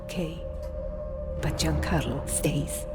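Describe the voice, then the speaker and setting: An actress playing a fierce, vengeful young partisan woman in a video game, speaking with slow, deliberate intensity. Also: low voice, little pitch movement. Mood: grudging. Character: young female partisan, fierce, vengeful, bitter former nurse. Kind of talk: dramatic game voice acting. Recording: music behind the voice.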